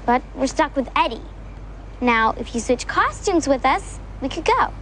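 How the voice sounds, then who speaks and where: Wisecracking preteen girl, very high voice, scheming, TV sitcom, background noise. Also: very animated, normal speed, a bit bright. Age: young girl, preteen. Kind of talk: sitcom acting with live audience. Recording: noisy TV sitcom with studio audience.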